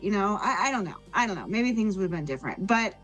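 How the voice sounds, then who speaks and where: A woman's voice: medium pitch, lively intonation, quick pace, fairly bright tone, faint music behind, lightly acted. A woman voicing an earnest, bossy but kindly female adventurer in a tabletop game, talking in an emphatic ramble. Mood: exasperated.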